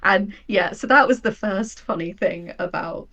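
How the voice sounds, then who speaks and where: A woman guest on a podcast, a writer and game creator talking casually and telling things in an animated, quote-acting way. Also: mid-range voice, measured pace, highs cut off.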